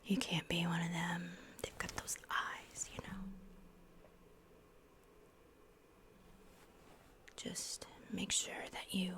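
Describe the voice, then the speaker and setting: Paranoid female asylum patient, played by a woman, horror ASMR roleplay: hushed, conspiratorial, obsessive repetition, narrow flat pitch. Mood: warning. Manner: repeats words obsessively, halting, conspiratorial.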